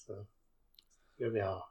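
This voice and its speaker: A man's voice: fairly low pitch, darkish tone, slight background noise. A man co-hosting a gaming podcast, chatting casually and peppering his talk with filler words. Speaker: podcast co-host.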